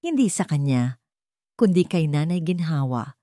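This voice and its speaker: Lower-pitched woman narrating drama, dry recording. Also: big pitch swings, unhurried, warm tone, dry recording. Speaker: storyteller. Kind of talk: dramatic scripted narration of a love story.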